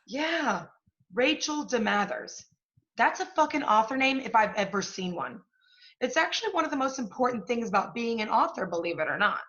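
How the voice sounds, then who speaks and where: An actress plays a domineering female literary agent in a one-act play, with a fairly high voice. She is blunt, profane and impatient. The recording is slightly dull and lo-fi. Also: very animated, normal speed, fairly bright tone. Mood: cynical, emphatic.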